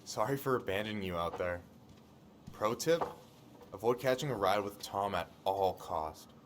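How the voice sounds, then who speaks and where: Game role: laid-back young American male friend, played by an actor, reassuring, slightly low pitch, faint background noise. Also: normal speed, natural voice. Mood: easygoing, teasing. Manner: easygoing, colloquial, reassuring. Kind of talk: naturalistic video game dialogue.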